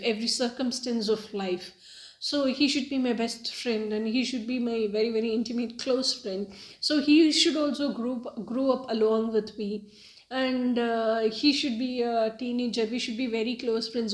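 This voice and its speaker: A woman whose first language is Tamil, hosting her own spiritual channel and talking in an earnest, hesitant way with many fillers. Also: a little high. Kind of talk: casual video monologue. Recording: echoey room.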